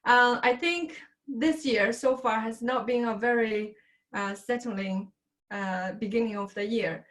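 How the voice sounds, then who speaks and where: Woman hosting a video call, high voice. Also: a bit bright. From China. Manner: friendly, unhurried, with many fillers. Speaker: host of an online business presentation.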